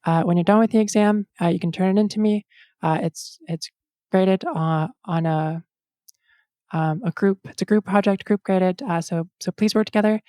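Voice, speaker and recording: A woman's voice: medium pitch, fairly even intonation, normal speed, warm tone, no room sound, studio quality, natural voice. A woman game master playing an awkward, earnest exam supervisor at a fantasy academy, stammering and timidly cheery. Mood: flustered, polite.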